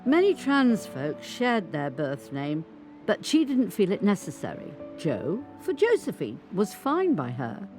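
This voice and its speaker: Expressive, resolute elderly grandmother. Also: mid-range voice. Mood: gentle. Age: 80s. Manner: measured, emphatic, reflective storytelling. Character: resolute 85-year-old grandmother recounting her life with candour. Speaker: monologue performer. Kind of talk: dramatic first-person monologue narration. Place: recording studio. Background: music.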